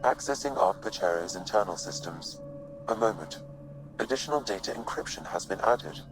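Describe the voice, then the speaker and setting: A man voicing a calm, formal artificial intelligence in a video game, measured and factual. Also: on the low side, music in the background, close to an everyday voice, low-quality recording.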